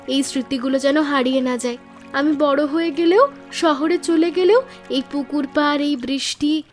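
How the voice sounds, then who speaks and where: A woman playing a sweet, sentimental young village niece in an animated story, earnest and girlish. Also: high voice, close to an everyday voice.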